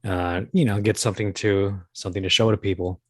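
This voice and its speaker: A man in a casual interview as a voice actor guest, talking in a halting, wry, joking way. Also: sits low, steady tone, a bit bright, no echo, clean studio sound.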